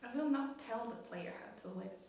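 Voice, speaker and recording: A woman playing a gentle, solemn cosmic spirit in a measured, wistful dramatic reading of a poetic dialogue. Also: on the high side, animated, close to an everyday voice. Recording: echoey room, distant mic, faint noise.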